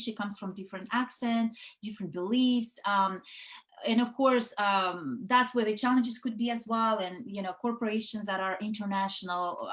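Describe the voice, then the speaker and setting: A woman's voice: medium pitch, a bit bright. Russian-born woman chatting on a call. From Soviet Union. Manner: many fillers and restarts, chatty. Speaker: guest, network founder. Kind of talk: casual conversation.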